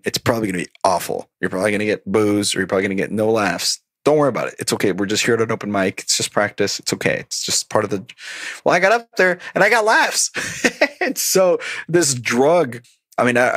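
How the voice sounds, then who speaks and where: Guy in his 30s from colombia, comedian, talks fast and animated, laughs a lot, dry close sound. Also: fairly low pitch, no room sound. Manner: animated, laughs often, acts out quotes. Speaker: podcast guest, stand-up comedian. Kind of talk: casual interview storytelling.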